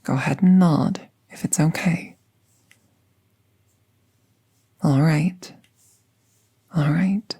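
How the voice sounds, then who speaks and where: Woman acting a slow, hushed, gentle magical vet. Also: low-pitched, exaggerated voice. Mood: gentle, careful. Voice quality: soft, breathy, half-whispered. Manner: slow, hushed, soothing, reassuring. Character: gentle, calm female magical veterinarian treating a wounded werewolf. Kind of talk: soft-spoken roleplay audio, ASMR.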